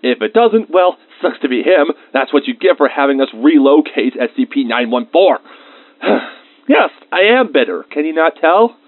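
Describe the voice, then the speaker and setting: A man's voice: on the high side, very animated, moderate pace, dry recording. A man playing an easily irritated researcher, dictating lab log entries as scripted narration in an audio drama. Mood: bitter, agitated. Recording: muffled, band-limited close dry recording.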